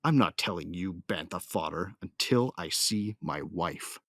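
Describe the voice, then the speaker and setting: Man acting a deep-voiced, brooding sci-fi warrior. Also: expressive, unhurried, a bit bright, no echo, clean studio sound, exaggerated voice. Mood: angry, combative. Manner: intense, brooding, sardonic. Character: gruff, hot-tempered male warrior narrating his own battle. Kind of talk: dramatic first-person audio drama narration.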